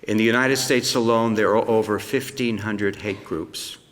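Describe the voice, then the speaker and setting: An older man, a Holocaust survivor, giving a measured prepared speech from notes on a theatre stage. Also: fairly low pitch, fairly even intonation, a bit bright, big-room sound, a little hiss or hum.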